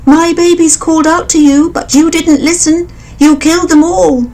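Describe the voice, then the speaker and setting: A woman reading a storybook voices a small mother bird, fierce with grief and anger, in a high shrill voice. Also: fairly even intonation, normal speed, mellow. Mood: angry.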